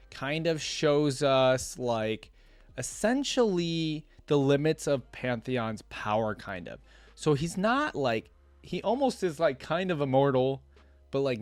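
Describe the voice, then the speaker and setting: A man's voice: medium pitch, dry recording. A man hosting a reaction video, reading a fantasy story aloud and commenting in an informal, colloquial way.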